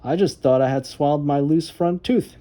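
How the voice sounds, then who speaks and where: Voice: mid-range voice, moderate pace, warm tone, lightly acted. A woman voicing a boastful, dramatic young boy in a children's storybook, with a whiny, exclamatory way of talking. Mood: defensive.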